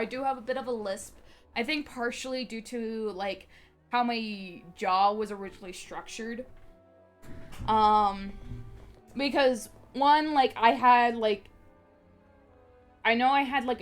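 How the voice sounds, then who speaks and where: A woman's voice: fairly high pitch, fairly even intonation, slow. Young female streamer, slight lisp, rambly and full of fillers, faint music underneath. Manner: rambling, many fillers and restarts, laughs often. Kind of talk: casual live-stream chat.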